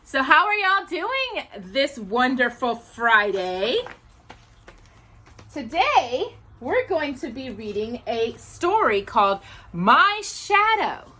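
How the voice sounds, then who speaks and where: A woman hosting a children's storytime, reading aloud in an animated, sing-song manner. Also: sits high, takes it slowly.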